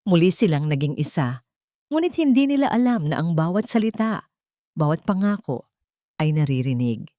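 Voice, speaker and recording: A woman's voice: low voice, very animated, moderate pace, pauses often, dark tone, no room sound, studio quality. A woman with a Filipino accent narrating a dramatic scripted story.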